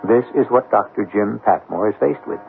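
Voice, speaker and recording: A man narrating a scripted radio mystery as host, in a measured, solemn and formal manner. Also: lowish voice, gravelly. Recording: slightly noisy, narrow-band vintage radio broadcast.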